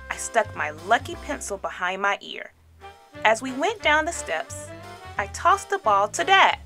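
A woman storyteller reading a children's book aloud in an expressive, dramatic way. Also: on the high side. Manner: animated, dramatic phrasing.

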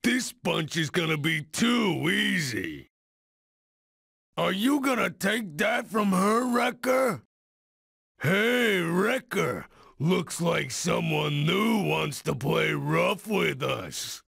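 An actor playing a brutish, cocky supervillain bruiser in a video game, boastful and menacing, with a gravelly, raspy voice, barking out taunts. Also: male voice, high-pitched, expressive, takes it slowly, a bit bright, dry recording, clean studio sound, heavily acted. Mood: gloating, then goading. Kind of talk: video game combat barks.

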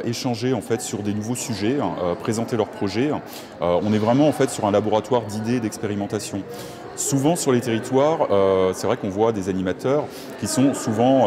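A man in his thirties speaking in a conference interview, earnest and hesitant, pausing often with filler sounds. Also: fairly low pitch. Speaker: rural network project officer. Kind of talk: conference vox-pop interview. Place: covered walkway of a conference centre.

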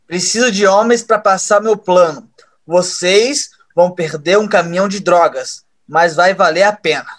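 A man's voice: high-pitched, moderate pace, natural voice. A man playing a brash, cocky young schemer in an audio drama, blunt and commanding. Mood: urgent.

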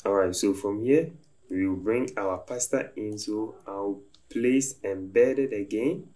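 A man calmly talking through a design software tutorial step by step as he works at his computer. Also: on the low side. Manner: slow, step-by-step instructive talk. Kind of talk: screen-recorded software tutorial narration.

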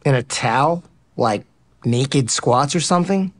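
Actor as easygoing, dim jock. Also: male voice, mid-range voice, expressive, a little slow, close to an everyday voice. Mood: casual. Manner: casual, nonchalant, earnest. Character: easygoing, dim, cocky male athlete, womanizer. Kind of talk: romantic comedy audio drama acting.

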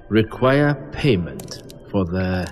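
A woman playing a sinister, haughty vampire in an audio drama, taunting with slow, theatrical menace. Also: very deep voice, lively intonation, exaggerated voice. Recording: long cavernous reverb under music.

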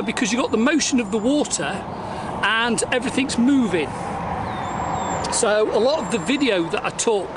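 A man's voice: high-pitched, reverberant, background noise. A man in his forties talking through a casual do-it-yourself vlog, rambling with lots of fillers and restarts, recorded on a camera mic both outdoors by a lake and in a kitchen. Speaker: vlogger.

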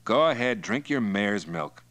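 A man's voice: on the low side, animated, measured pace, a bit bright. A man playing a wary, stubborn captive patrolman in an audiobook, with a dry, guarded, needling delivery. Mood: wry. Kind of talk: acted dialogue in audiobook narration.

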